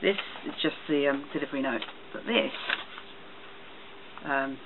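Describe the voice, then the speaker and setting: Hesitant man on a tinny, noisy recording. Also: medium pitch. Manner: hesitant, halting delivery. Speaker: product reviewer. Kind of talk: casual show-and-tell vlog. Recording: tinny phone-like sound, loud noise, clicks.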